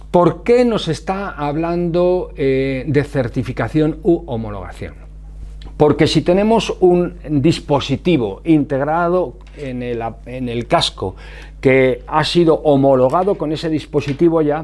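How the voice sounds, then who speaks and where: A man's voice: medium pitch, darkish tone. A man with an accent from Spain explaining things informally to viewers, didactic and emphatic, often answering his own questions. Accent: Spanish from Spain. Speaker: motorcycle safety vlogger.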